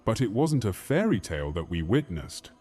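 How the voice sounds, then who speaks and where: A man's voice: lowish voice, big pitch swings, normal speed, darkish tone, breathy edge, soft background music. A man playing a coarse, battle-weary castle warrior, blunt and dramatic, in a fantasy audio drama. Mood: weary, indignant.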